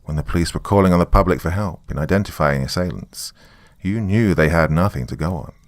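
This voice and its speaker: Haunted male writer, performed by a male narrator: low, dry close sound, wry first-person horror confession. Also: lively intonation, brisk, no room sound. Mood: grave, confiding. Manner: musing, wry, conversational asides. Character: weary, frightened male writer recording a confession on tape.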